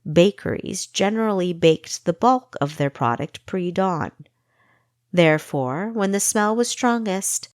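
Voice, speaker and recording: A woman reading an audiobook story as its narrator, in a measured, expressive storytelling manner. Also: fairly low pitch, no room sound, studio quality. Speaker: author reading own audiobook. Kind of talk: scripted fiction narration.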